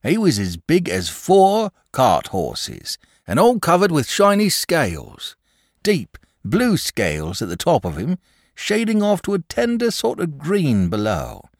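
A man's voice: fairly high pitch, huge pitch swings, unhurried, no echo, clean studio sound, voice pushed up, full character voice. A man voicing a nervous, superstitious country shepherd and father from a storybook, rambling on emphatically in rural dialect. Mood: awed, nervous. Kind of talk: storybook character voice.

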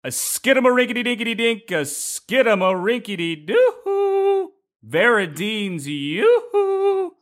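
A man's voice: very high-pitched, big pitch swings, measured pace. A man hosting a podcast in an exuberant, playful, informal way with plenty of slang. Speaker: podcast host. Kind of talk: upbeat podcast hosting and interview.

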